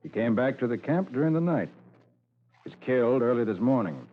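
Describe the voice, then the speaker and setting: A man's voice: medium pitch, sing-song, a little fast, natural voice. An actor playing an authoritative, level-headed male private detective in an old-time radio drama. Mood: probing. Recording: hissy, muffled old radio recording.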